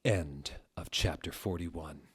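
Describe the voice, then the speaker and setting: Lower-pitched man giving a theatrical reading. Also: slow pace, husky. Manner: theatrical, mock-serious. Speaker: narrator. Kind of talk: comedic dramatic reading of fan fiction.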